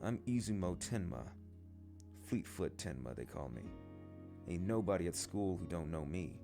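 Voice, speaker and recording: A cocky older schoolboy bully, acted out by a male reader in a storybook tale. He is low-pitched and lively, taunting and condescending in slangy phrases. Guitar music plays behind him. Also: normal speed. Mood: boastful sneer. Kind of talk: storybook character dialogue.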